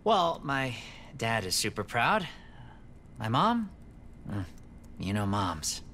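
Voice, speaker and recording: Game crewmate: keen, affable space engineer, medium pitch, light background hum. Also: male voice, very animated, slow, a bit bright, full character voice. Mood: wry, casual. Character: eager, friendly male spaceship engineer. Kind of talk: scripted video game dialogue, conversational.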